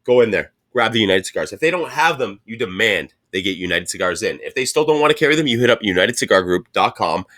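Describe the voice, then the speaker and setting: A man in his thirties hosting a podcast, talking loudly and excitedly, with swearing and hype-heavy sponsor reads. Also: fast, hardly any pauses. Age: 30s. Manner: loud, hyped, swearing, pitching sponsors. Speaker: podcast host. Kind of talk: casual chat.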